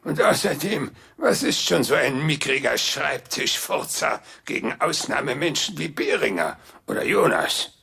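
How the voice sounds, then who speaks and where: Smug theatrical villain: drawling and pompous, slow, heavily creaky, raspy, radio drama. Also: male voice, a little high, big pitch swings, pushed and bright, full character voice. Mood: threatening. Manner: mock-courteous, drawling, pompous. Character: Behringer, smug theatrical villain, cackling and menacing. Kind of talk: German radio drama acting.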